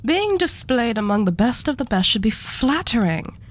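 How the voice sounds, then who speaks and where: A woman's voice: a little high, very animated. Woman playing a haughty, condescending female arch devil. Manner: condescending, unhurried, politely menacing. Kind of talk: scripted ASMR roleplay acting.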